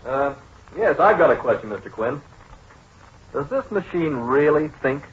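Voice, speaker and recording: An actor playing a skeptical, plain-spoken newspaper reporter in a 1940s radio drama, blunt and casual. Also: male voice, on the high side, big pitch swings, measured pace, husky, heavily acted. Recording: dull 1940s radio broadcast.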